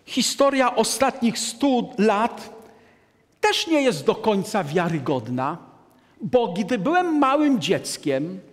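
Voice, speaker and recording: A man in his sixties giving a deliberate, emphatic lecture to an audience from a lectern in a large hall. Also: sits high. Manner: deliberate, emphatic, gestures at a map. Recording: long hall reverb.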